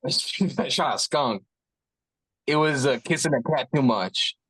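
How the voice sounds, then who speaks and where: A man's voice: mid-range voice, expressive, a little slow, fairly bright tone, husky, no room sound, low-quality recording. A man reading the part of a cocky, goofy stoner gunman who rambles and jokes his way through excuses. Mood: evasive, goofy. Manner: rambling, joking, breaks into chuckles. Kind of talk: casual table read of a script.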